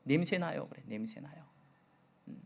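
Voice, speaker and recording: Man, pastor, middle-aged, from Jeolla, slight Jeolla accent, fairly high voice, rhetorical questions, loud-to-soft swings. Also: expressive, moderate pace, creaky. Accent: Seoul Korean with occasional Jeolla dialect. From Jeolla region, Korea. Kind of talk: sermon.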